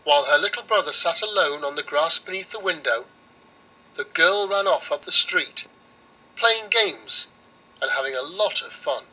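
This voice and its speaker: A man narrating a children's storybook in a measured, expressive way. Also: fairly high pitch, rough recording.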